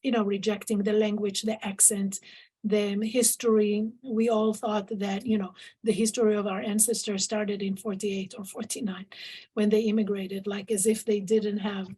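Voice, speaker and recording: A middle-aged woman from Israel whose first language is Arabic, a professor answering interview questions thoughtfully, with frequent pauses and restarts. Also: medium pitch, level tone, mellow, husky. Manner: thoughtful, many fillers and restarts. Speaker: professor guest.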